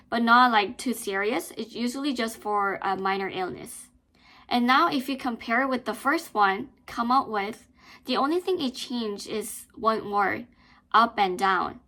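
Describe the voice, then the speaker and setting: A young woman teaching English in a careful pronunciation lesson, drilling phrases slowly and repeating words with stress. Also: fairly high pitch, sharp tone.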